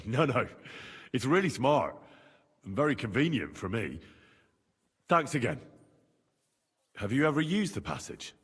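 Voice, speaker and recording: An actor playing an earnest young commoner adventurer in a video game, plain-spoken, polite and friendly. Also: male voice, medium pitch, very animated, unhurried, long pauses, fairly bright tone, slightly rough, heavily acted. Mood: friendly inquiring. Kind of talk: video game dialogue acting. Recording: long reverb, faint game music behind.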